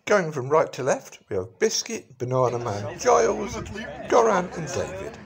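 A man's voice: medium pitch, sing-song, moderate pace, crowd noise. A middle-aged English man narrating a casual, rambling vlog into his phone in an informal, wry way. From England. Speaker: vlogger. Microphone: phone at arm's length.

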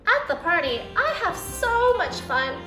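Slow, lively storybook-reading woman. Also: very high voice, echoey room, music in the background, poor audio. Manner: expressive storybook reading with voiced quotes. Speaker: preschool teacher. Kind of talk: reading a picture book aloud to children. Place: indoor room by a brick fireplace.

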